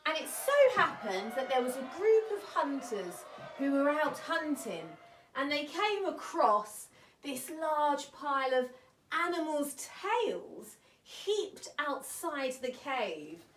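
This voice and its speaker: A woman hosting a children's story show, telling tales in an expressive way with drawn-out emphasis. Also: high-pitched. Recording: roomy, distant mic, faint noise.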